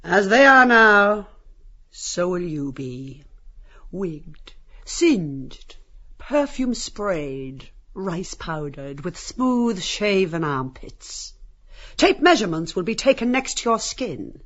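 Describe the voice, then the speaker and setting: Woman acting a domineering, jeering madam, slow and theatrical. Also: lowish voice, big pitch swings, fairly bright tone, lo-fi sound, exaggerated voice. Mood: commanding, gloating. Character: domineering, sadistic brothel madam turned masterful tyrant. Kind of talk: dramatized audiobook performance.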